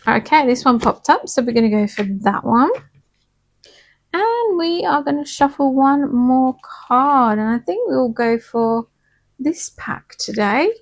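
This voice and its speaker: Woman card reader, a little hoarse, pitched a touch high, gentle and chatty with listeners. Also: lively intonation, a little slow. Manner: soft, chatty, reassuring, checks in with "okay?". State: slightly hoarse from singing. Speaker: tarot and angel card reader. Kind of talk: casual spiritual card reading.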